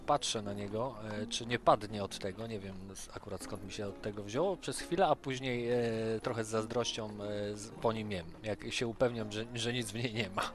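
Male role-player, lowish pitch, hesitant, thinking aloud, improvised game talk. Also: moderate pace, hardly any pauses.

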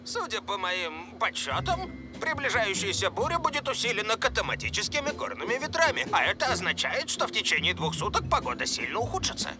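A man's voice: high-pitched, sing-song, brisk, over music. A man voicing a fussy, brainy team professor in a dubbed cartoon, pedantic and wordy. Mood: pedantic, warning.

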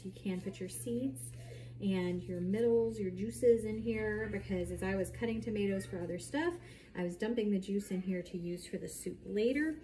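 A woman's voice: medium pitch, dark tone. A woman in her thirties chatting casually to camera as she demonstrates home cooking, with explanatory asides as she works. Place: home kitchen, indoors at night. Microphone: camera mic a short distance away. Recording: clearly noisy home kitchen recording.

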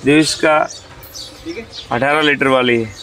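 A man's voice: medium pitch, steady tone, slow pace, a bit bright, far from the mic, a little hiss or hum. A man in his thirties, a dairy farmer, giving short plain answers outdoors on his farm.

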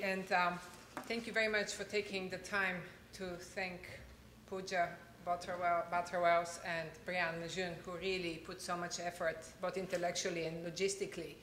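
A woman's voice: mid-range voice, slight background noise. A woman, a history professor introducing a speaker with many hesitations. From Bulgaria. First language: Bulgarian. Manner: warm thanks with frequent uh fillers. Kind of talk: speech introduction. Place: large lecture hall, at a podium. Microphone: podium microphone. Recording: echoey hall.

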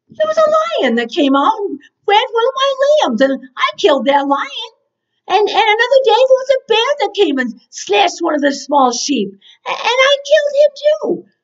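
A woman voicing a bold, indignant young shepherd boy, eager and insistent, stammering with excitement. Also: high voice, heavily acted. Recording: dull, distant-mic recording.

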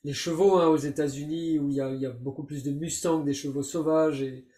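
A man's voice: on the high side, fairly even intonation, fairly quick, dark tone. A middle-aged man interviewing on a video call, often repeating words as he starts. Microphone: webcam on video call. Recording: echoey room over video call.

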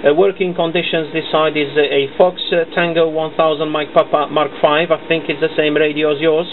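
A male ham radio operator speaks in a fairly high, unvarying voice. He runs through a contact procedurally, spelling callsigns phonetically. The recording has a thin band and faint noise. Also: little pitch movement, brisk, narrow, tinny audio.

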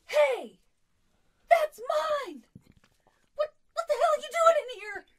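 A man voicing an outraged, shrieking wife with indignant, drawn-out exclamations in a comedy skit. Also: very high voice, animated, a bit bright, voice pushed up, heavily acted.

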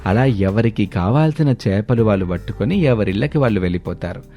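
A man narrating an animated moral tale as a scripted storyteller. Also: fairly low pitch, fairly quick, faint music behind.

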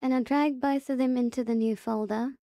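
A woman hosting a casual software tutorial, explaining it step by step in a halting way. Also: high-pitched, steady tone, no room sound, studio quality. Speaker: tutorial host.

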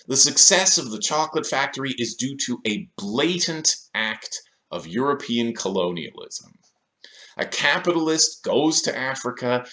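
A man's voice: mid-range voice, fairly bright tone. A man in his thirties delivering scripted video essay commentary in a sardonic, questioning manner, recorded in a small room.

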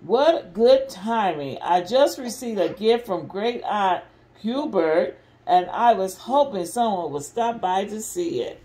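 A woman reading a picture book aloud, voicing a fussy old male owl in slow, careful tones. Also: mid-range voice, animated, dark tone. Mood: pleased.

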